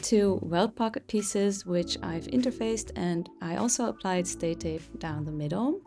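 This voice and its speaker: A woman whose first language is Dutch, a pattern designer calmly explaining a sewing project step by step with occasional fillers. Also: on the low side, unhurried, gravelly, over music. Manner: calm, explanatory, with frequent "uh" fillers. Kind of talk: sewing tutorial.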